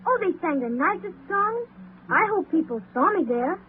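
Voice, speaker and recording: A tinny old radio recording with light hiss carries a little boy, high-voiced and sweet. He sounds frail, innocent and piously earnest, in the manner of 1930s drama. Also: big pitch swings, normal speed.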